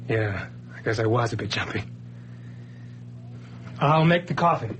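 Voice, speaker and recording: A man's voice: medium pitch, expressive, a little slow, fairly bright tone, slight background noise. An actor playing Jim, a nervous, jumpy man recovering from a breakdown, in an old radio drama. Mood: defensive. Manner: jumpy, anxious, pleading.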